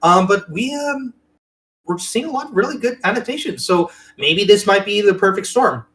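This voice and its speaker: Earnest man with a higher-pitched voice. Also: lively intonation, normal speed, a bit bright. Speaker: podcast co-host. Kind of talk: casual chat.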